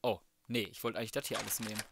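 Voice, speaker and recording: A man's voice: fairly low pitch, little pitch movement, racing, gravelly, noisy background. A man giving casual, slangy and crude commentary on a video game, talking to himself as he plays. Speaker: let's play gamer.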